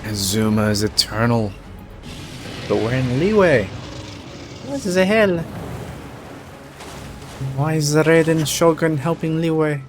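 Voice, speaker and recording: A man's voice: on the high side, lively intonation, slow pace, pauses often. A man streaming a game with casual, slangy commentary, muttering asides to himself. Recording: game music behind the voice.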